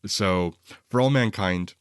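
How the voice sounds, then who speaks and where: Deep-voiced man in casual film talk. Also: slow, clean tone, dry recording, clean studio sound. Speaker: podcast co-host reviewing films.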